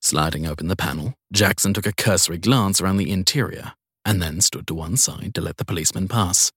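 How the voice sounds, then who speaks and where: A man's voice: low voice, a little fast, a bit bright, husky, no echo. A man reading scripted crime fiction as an audiobook narrator, recorded close up.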